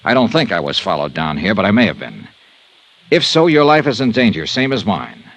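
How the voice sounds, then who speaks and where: Hard-boiled investigator, low voice, clipped, pressing, old radio recording. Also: male voice, sing-song, fairly quick, a bit bright, low-quality recording. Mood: urgent. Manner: clipped, pressing, tough-talking. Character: hard-boiled male insurance investigator, urgent. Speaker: star of the show. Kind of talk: 1950s radio drama acting.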